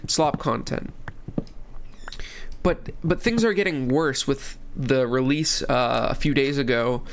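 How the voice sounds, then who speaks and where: Young male host, stuffy from a cold, loose rambling game commentary, average pitch, light background hiss. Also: vocal fry, fairly bright tone. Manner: rambling, restarts phrases, many fillers. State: congested from a cold. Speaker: gaming channel host. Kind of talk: casual commentary while playing.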